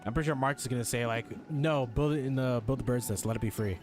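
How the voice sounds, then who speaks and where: A man's voice: mid-range voice, quick pace, music in the background. A young man giving casual gameplay commentary in a chatty, slangy, sweary way. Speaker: game streamer.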